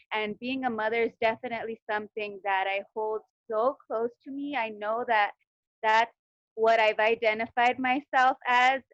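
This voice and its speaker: A woman in her thirties sharing a personal testimonial on a video call, affirming and halting with fillers. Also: fairly high pitch, no room sound. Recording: dry, dull lo-fi sound.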